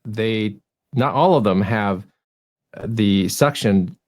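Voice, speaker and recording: A man's voice: on the low side, takes it slowly. Thoughtful man from Taiwan, casual panel chat. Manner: thoughtful, hesitant, self-correcting. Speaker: urology clinician guest. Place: studio.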